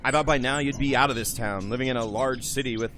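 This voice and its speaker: A man's voice: medium pitch, quick pace, fairly bright tone, a little hiss or hum, natural voice. A man in his twenties playing a charming, eager young returned serviceman in an amateur audio drama. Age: 20s. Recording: background music under dialogue.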